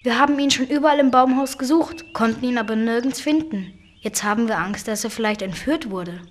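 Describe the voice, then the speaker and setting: Children's game: woman as an earnest young kid, fairly high, worried but friendly. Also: brisk, natural voice.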